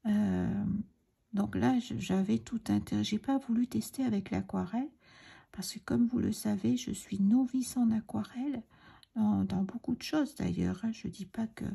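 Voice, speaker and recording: A middle-aged woman with a cold, hoarse and breathy, chatting hesitantly and often half-whispering as she explains a crafting tutorial. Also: medium pitch, dark tone. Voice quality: raspy, breathy, gravelly. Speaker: card-making tutorial host.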